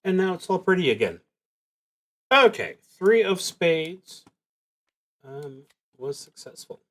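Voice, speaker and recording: A man streaming a solo tabletop roleplaying game, narrating his play and thinking aloud with hesitant pauses. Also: high-pitched, sing-song, a bit bright.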